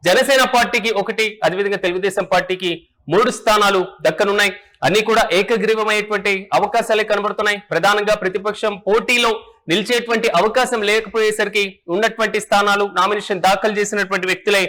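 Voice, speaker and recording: A man's voice: high voice, fairly bright tone. A man presenting news and political analysis in a formal, repetitive manner.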